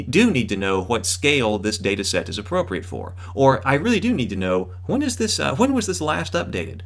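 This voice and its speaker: A man in his thirties giving an explanatory lecture as a course instructor, with a hesitant, halting delivery and emphatic repetition. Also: medium pitch, fairly bright tone.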